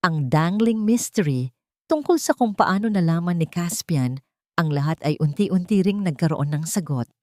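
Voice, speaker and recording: A woman narrates a dramatic audiobook tale in a lowish voice. The delivery is scripted storytelling, and the recording is close and dry, with hardly any room sound. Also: animated, normal speed, a little mellow, no room sound.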